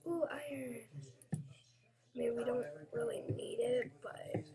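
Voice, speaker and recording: A woman in a hushed, slow whisper comments on her game as she plays, and her voice sits a little high. She talks to herself and slips into a teasing sing-song chant. The murmur of a crowd runs under the recording. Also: fairly even intonation, creaky. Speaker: gaming video creator.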